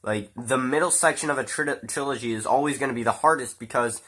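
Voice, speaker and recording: A man's voice: medium pitch, fast, fairly bright tone. A man with a stuffy nose from a cold, giving a rambling, casual spoken film review with fillers and the odd swear. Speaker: movie reviewer.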